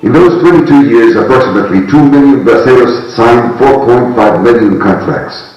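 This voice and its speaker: A man's voice: mid-range voice, animated, moderate pace, darkish tone, recorded from a distance. A man giving scripted documentary narration. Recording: dull playback in echoey hall, music. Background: music.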